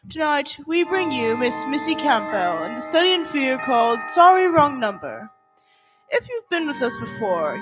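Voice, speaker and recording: A woman playing an ominous radio suspense announcer, narrating theatrically and with measured phrasing in a 1940s style. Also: high voice, poor audio. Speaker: program announcer. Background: music.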